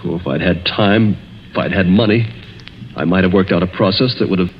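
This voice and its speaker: An actor playing a bitter, desperate, struggling chemist in a 1940s radio mystery, halting and earnest. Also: male voice, low voice, close to an everyday voice. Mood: brooding, confiding. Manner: halting, earnest, brooding delivery. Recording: muffled, noisy 1940s radio recording.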